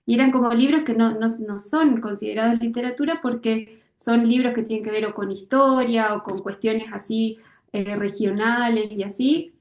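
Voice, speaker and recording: A woman author, from Misiones, talking through her creative process in a thoughtful interview, often pausing and restarting as she looks for words. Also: a little high, steady tone, a little mellow, roomy sound. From Misiones, Argentina. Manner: hesitant, searching for words, many fillers and restarts.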